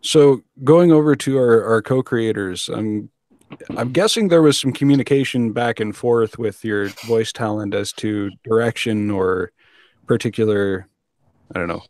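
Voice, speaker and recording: A man hosting a casual panel interview, halting as he searches for words. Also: on the low side, a little mellow, no room sound, clean studio sound. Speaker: podcast host.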